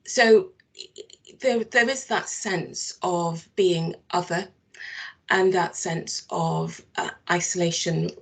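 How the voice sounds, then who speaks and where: A woman in her fifties from Barbados speaks slowly and deliberately, her lowish voice carrying a gravelly edge. She answers interview questions thoughtfully, pausing and restarting as she works through her ideas. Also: big pitch swings. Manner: thoughtful, measured, many restarts and fillers. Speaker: social work team manager.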